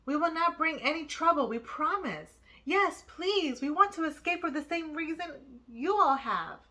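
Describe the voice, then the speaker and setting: A frightened young refugee man from a storybook played by a woman, begging earnestly in a raised, bright voice. He sounds polite and desperate. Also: sits high, lively intonation, unhurried, pitched above the performer's own voice, heavily acted. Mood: pleading. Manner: pleading, earnest. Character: frightened young refugee men pleading to stay.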